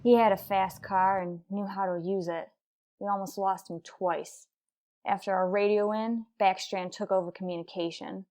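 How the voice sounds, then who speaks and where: An actress playing a tough, dry police detective in a radio crime drama, speaking in a terse, hard-boiled manner. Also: female voice, medium pitch, fairly even intonation, moderate pace, a little mellow, lightly acted. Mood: grim narration.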